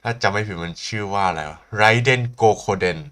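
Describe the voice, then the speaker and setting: A man's voice: on the low side, rapid-fire, fairly bright tone. A man with a Thai accent, a native Thai speaker, giving playful, casual live commentary as a game streamer and switching languages.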